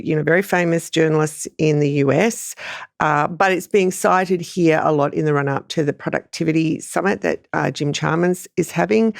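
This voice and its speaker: A woman's voice: low-pitched, vocal fry. An Australian woman giving informal, opinionated political analysis in a conversational way, often qualifying her points as a podcast panellist. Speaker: journalist panellist on a news podcast.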